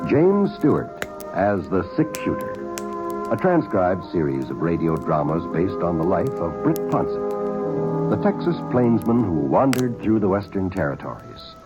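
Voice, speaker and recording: A man's voice: low-pitched, wildly swooping pitch, a little slow. A man announcing network programs in a formal 1950s radio announcer style. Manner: formal, sweeping announcer delivery. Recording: dull lo-fi vintage radio broadcast with loud music.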